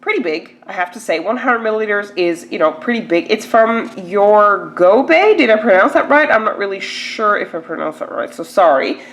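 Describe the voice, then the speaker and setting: A woman's voice: mid-range voice, animated, moderate pace, hardly any pauses, a bit bright. A woman with a stuffy nose from a cold, chatting casually to camera as she unboxes a beauty box, with lots of "like" and "um". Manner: chatty, filler-heavy, lots of "like" and "um".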